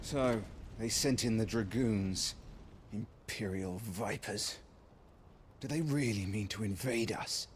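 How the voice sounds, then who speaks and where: An actor playing a loyal, alert knight in a video game, brisk, soldierly and respectful, with music behind him. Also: male voice, fairly low pitch, background noise. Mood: troubled. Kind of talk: dramatic video game acting.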